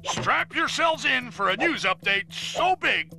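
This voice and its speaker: A man's voice: very high voice, animated, a little slow, gravelly, pushed and bright, faint music behind, heavily acted. An actor playing a blustering, self-important male newspaper editor giving a live news broadcast in a game cutscene. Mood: bombastic. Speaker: news anchor. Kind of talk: video game cutscene voice acting.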